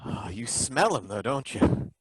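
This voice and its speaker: A doomed inspector, drawling and dreamy, lively pitch, dry close sound. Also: male voice, a little slow, breathy edge, dry recording. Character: dreamy doomed male inspector, eerily calm. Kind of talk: old-time radio drama acting.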